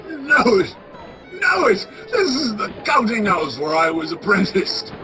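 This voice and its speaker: A woman playing an anxious, sentimental old male miser in a radio play, stammering and halting with emotion. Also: mid-range voice, sing-song, music in the background, crowd noise. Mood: joyful, wistful.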